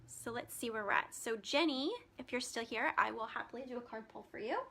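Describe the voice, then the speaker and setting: A woman's voice: fairly high pitch, brisk, vocal fry, fairly bright tone. A woman hosting a live stream as a spiritual healer, chatting casually with many ums and reading out card meanings, her voice turning scratchy. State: voice getting scratchy.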